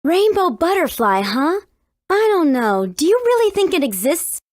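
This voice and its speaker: A woman voicing a confident, bossy teenage girl adventurer in a video game, brisk and wry. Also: extremely high pitch, very animated, no echo, clean studio sound. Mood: matter-of-fact. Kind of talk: video game character acting.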